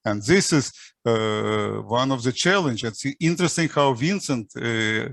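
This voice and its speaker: A man with a mid-pitched voice moderates a technical discussion. He phrases his points and questions haltingly, and the sound is dry and close. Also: animated, gravelly, a bit bright, no room sound. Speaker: session moderator.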